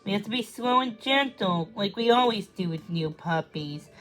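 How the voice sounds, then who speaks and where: A woman's voice: medium pitch, expressive, normal speed, a bit bright, lightly acted, rough recording. A woman voicing Lizzie's part in a children's storybook, an eager, bossy young girl. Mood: hushed, instructive.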